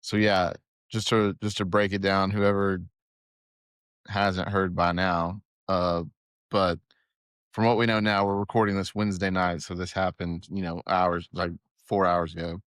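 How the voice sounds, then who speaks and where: Deep-voiced easygoing man co-host. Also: little pitch movement, a bit bright, dry recording. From Arkansas. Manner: easygoing, halting with restarts. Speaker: podcast co-host. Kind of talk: casual sports chat.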